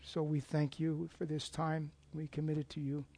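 An older man with a hoarse voice, as he is losing it, giving an informal sermon after an opening prayer, rambling and self-deprecating, with many fillers and restarts. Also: a little high, little pitch movement, moderate pace, dark tone, slight background noise. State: losing his voice. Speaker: lay preacher.

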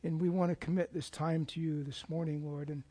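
Older guy, hoarse, losing his voice, rambly casual sermon, kinda high pitched. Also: flat delivery, a little fast, warm tone. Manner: rambling, self-deprecating, with frequent fillers and restarts. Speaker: lay preacher. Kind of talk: informal sermon with opening prayer.

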